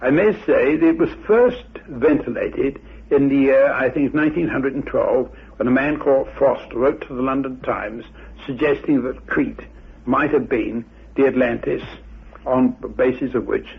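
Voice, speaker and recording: An older man giving a measured, formal explanation in deliberate, scholarly phrases. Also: medium pitch, vocal fry, breathy edge. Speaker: expert interviewee. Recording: muffled, phone-like old radio recording.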